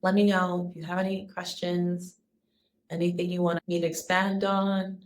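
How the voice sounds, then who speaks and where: Calm low-voiced woman vlogger. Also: moderate pace. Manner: soft, calm and earnest, with restarts. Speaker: wellness vlogger. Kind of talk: casual personal vlog talk.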